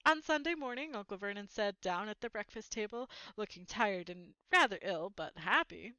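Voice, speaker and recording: Bright-voiced woman reading a storybook aloud. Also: mid-range voice, lively intonation, normal speed, clean tone, dry recording, highs cut off. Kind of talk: expressive children's book read-aloud.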